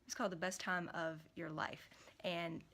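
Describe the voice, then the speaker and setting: A young woman, hoarse from a cold, chatting casually to camera in a small room, rambling with many fillers and restarts. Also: fairly low pitch, steady tone, normal speed, a bit bright, slight background noise. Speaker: vlogger.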